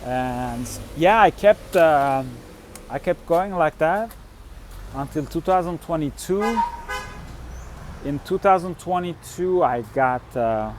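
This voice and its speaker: Rambling man, slightly high voice. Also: animated, a little hiss or hum. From Turkey. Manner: halting, full of "you know" and "like" fillers. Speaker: touring musician and vlogger. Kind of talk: casual chat.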